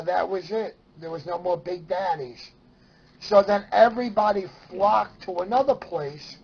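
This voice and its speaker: A middle-aged man with a Brooklyn New York accent and a husky, gravelly voice, casually reminiscing in a plainspoken, meandering way. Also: fairly high pitch, a little mellow, muffled recording, a little hiss or hum. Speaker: storyteller sharing memories of CB radio.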